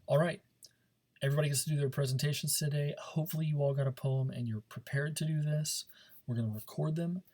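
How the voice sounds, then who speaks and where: A man's voice: fairly high pitch, steady tone, fast, a little mellow. A man teaching a speech class in an informal, coaching manner, often checking in as he gives instructions. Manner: informal, coaching, checks understanding with frequent "okay" and "right".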